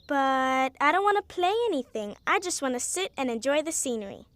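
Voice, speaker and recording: High-voiced, stubborn cartoon girl, Moo Moo. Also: sing-song, a little fast, a bit bright. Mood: dismissive. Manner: matter-of-fact, dismissive. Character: stubborn, aloof young female cartoon character, Moo Moo. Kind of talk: animated kids' cartoon dialogue.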